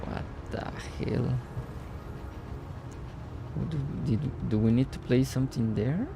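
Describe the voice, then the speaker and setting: Slow, gravelly man streaming a game. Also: medium pitch, background noise. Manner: thinks aloud, quick puzzled exclamations; talks to chat viewers. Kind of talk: live gameplay commentary.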